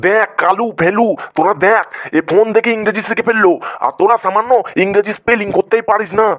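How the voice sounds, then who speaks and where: Guy doing a high voiced cartoon teacher, scolding and moaning at his class. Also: fairly even intonation, fast, fairly bright tone. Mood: exasperated.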